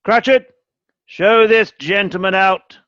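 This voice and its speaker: Man acting as elderly male miser, cold, scornful, curt boss, raised pitch, dry close sound, radio drama. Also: slow, pushed and bright, no echo, highs cut off, exaggerated voice. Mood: barking, impatient. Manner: curt, scornful, barking orders.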